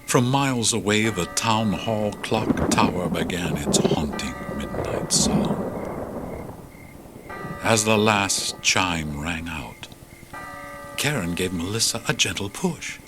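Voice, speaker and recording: An actor narrating a spooky horror story as an ominous storyteller, in a hushed, slow, theatrical manner on an old cassette tape. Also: male voice, low-pitched, heavy background noise. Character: ominous male storyteller of a haunted house tale.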